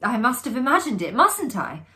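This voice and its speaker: A woman voicing a shaken, sheepish young man in a ghost story, halting and repeating words. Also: fairly high pitch, very animated, sharp tone, voice pushed up. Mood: shaky, unconvinced. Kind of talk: performed reading of a ghost story.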